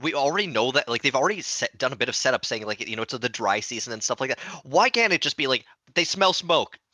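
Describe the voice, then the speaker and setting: A man's voice: medium pitch, animated, fast talker, sharp tone, no echo. A man on a podcast panel chatting casually and jokingly, informal and opinionated.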